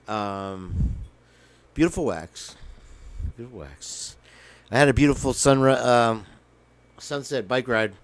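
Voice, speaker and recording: A man's voice: medium pitch, slow pace, long pauses. Rambling man with a crackly voice. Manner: rambling, joking, sings off-key. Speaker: livestream host. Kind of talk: casual livestream chat with guitar. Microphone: headphones with mic.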